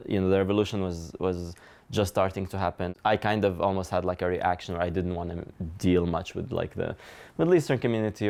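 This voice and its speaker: A man from Syria in his thirties, speaking reflectively and softly in an interview, with many hedging fillers. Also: deep voice. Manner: soft-spoken, hedging with fillers. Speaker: interviewee, concert organizer.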